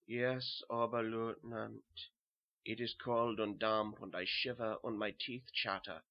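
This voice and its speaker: A man with a put-on German accent playing a dazed, haunted soldier turned butcher's helper in a dramatic horror reading, halting and brooding. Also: lowish voice, flat delivery, pitched below the performer's own voice. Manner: halting, repetitive, brooding first-person delivery. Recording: dull lo-fi home recording.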